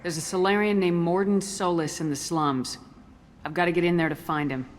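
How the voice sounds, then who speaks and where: An actress playing a resolute, no-nonsense soldier heroine in a video game, direct and controlled. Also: female voice, on the low side, steady tone, a little fast, long reverb tail, a little hiss or hum, close to an everyday voice. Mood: inquiring. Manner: direct, controlled, matter-of-fact. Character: resolute, no-nonsense female human soldier hero.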